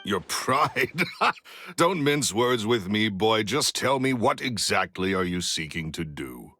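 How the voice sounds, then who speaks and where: Gruff bossy older magician man in a game, deep booming voice, impatient, kinda roomy sound. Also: big pitch swings, slow pace, bright, forceful tone, clean studio sound, full character voice. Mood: scornful. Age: middle-aged. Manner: curt, domineering, hard-nosed. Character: gruff, impatient, foul-mouthed former stage magician in his late thirties. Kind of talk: video game voice acting.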